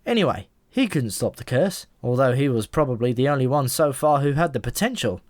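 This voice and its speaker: A man's voice: medium pitch, animated, brisk, no echo, lightly acted. A man voicing a talking pigeon in an audiobook, a knowing and easily exasperated explainer with a wry, emphatic manner. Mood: explaining, wry.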